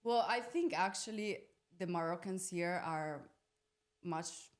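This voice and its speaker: A young adult woman from Italy with a slightly low voice speaks as a podcast guest. She reflects casually on personal experience, in an informal way, feeling for the right word as she goes. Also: slow pace, faint music behind. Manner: informal, searching for words, peppered with "like". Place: podcast studio.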